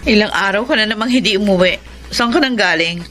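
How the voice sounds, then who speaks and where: A woman's voice: medium pitch, quick pace, music in the background, close to an everyday voice. An actress playing a hurt, meek middle-aged wife and mother in a Filipino radio drama. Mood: worried, pleading. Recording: noisy radio drama, sound effects behind.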